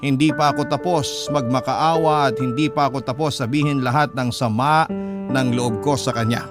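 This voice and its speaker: A man's voice: medium pitch, fairly even intonation, moderate pace. A man narrating a dramatic first-person story as a radio storyteller. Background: background music.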